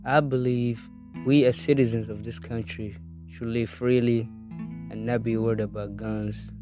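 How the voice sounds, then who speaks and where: An eighteen-year-old man from Somalia reading his own life story in an earnest, steady way. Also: medium pitch, measured pace, music in the background. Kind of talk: read personal narrative.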